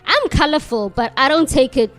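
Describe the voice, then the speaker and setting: Woman podcast host, high and very lively voice, playful, teasing, faint music behind. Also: fairly quick. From South Africa. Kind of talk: casual podcast chat.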